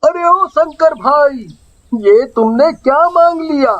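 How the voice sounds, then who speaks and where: Casting: female voice for humble cartoon fisherman, extremely high voice, slow pace, honest and careworn. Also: animated, lo-fi sound. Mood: reproachful. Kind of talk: Hindi children's cartoon story dub.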